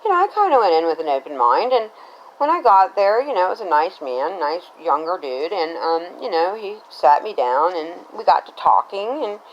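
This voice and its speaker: Low-pitched, animated American woman telling a story. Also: normal speed, highs cut off. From Cincinnati, Ohio, United States. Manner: rambling, conversational, often checks in with "right?". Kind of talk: casual personal storytelling.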